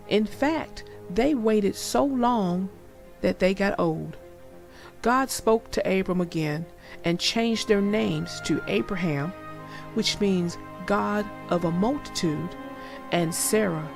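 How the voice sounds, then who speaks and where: A woman narrating a children's story as a scripted read-aloud, in a deliberate, expressive way. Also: mid-range voice, gravelly.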